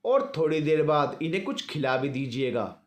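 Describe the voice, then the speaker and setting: A female hospital nurse, played by a male narrator in an audio story. She instructs courteously and matter-of-factly, in a slightly high voice with varied pitch. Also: expressive, moderate pace, reverberant, close to an everyday voice. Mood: instructing.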